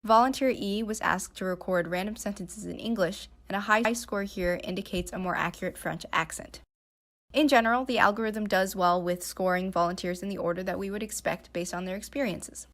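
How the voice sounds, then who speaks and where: A woman's voice: medium pitch, a little fast. A young adult woman reading a scripted narration for a student slideshow presentation. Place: voice-over recorded for slides.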